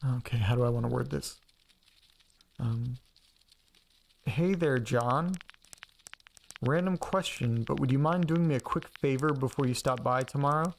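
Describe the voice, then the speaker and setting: A man's voice: mid-range voice, a little slow, vocal fry, long pauses, slight background noise, poor audio. A man acting as an anxious, chatty young man in an audio drama, rambling and hesitant in a casual, naturalistic way. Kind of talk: naturalistic first-person audio drama, talking to himself.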